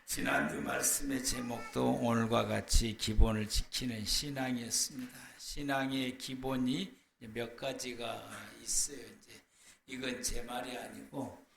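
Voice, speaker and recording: Male pastor giving a sermon, hoarse from a cold, echoey room w/ some faint noise. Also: medium pitch, unhurried, fairly bright tone. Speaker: pastor preaching. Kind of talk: Protestant church sermon. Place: church, speaking to a congregation.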